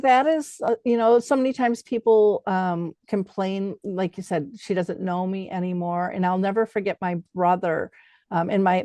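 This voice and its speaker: A woman's voice: lowish voice, dark tone, no echo, clean studio sound. A middle-aged woman hosting a conversational interview, telling personal stories and acting out the dialogue in them. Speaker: podcast host.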